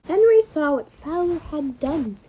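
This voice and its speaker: A woman's voice: high voice, animated, a little slow, dark tone, slight background noise, poor audio. A woman reading scripted story narration as a student volunteer in readers theater.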